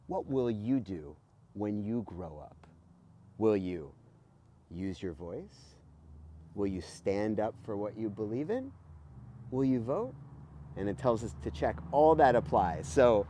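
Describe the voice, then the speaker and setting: A middle-aged American man, a teacher reading aloud to children with an expressive, emphatic delivery and stretched key words, recorded outdoors at close range. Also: medium pitch. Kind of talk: animated children's read-aloud with asides. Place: outdoors beside a house in a garden.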